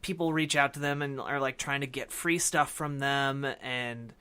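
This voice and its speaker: A man's voice: medium pitch, steady tone, normal speed, pushed and bright, clean tone. A man co-hosting a casual podcast discussion, feeling out his phrases with lots of "like". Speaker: podcast co-host. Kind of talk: casual discussion of a film and games.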